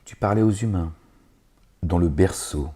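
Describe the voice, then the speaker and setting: Brief: man, low dark voice, reads scripture and digresses, sarcastic, hesitant. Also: unhurried, husky. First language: not Arabic. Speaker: religious lecturer reading scripture. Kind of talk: scripture reading with spoken commentary.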